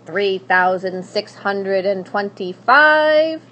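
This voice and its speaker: Woman acting a slow, scornful storybook witch. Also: medium pitch, lively intonation, a bit bright, dull audio, slight background noise. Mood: cold, indifferent. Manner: slow, drawn-out, disdainful. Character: cold, menacing witch, scornful and indifferent.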